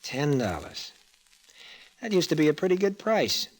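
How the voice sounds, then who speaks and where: An actor with an American accent playing a slippery but lovestruck racetrack tout in old-time radio style, slangy and hesitant, with a husky voice. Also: male voice, fairly high pitch, sing-song, a little slow, poor audio. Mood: earnest, exasperated.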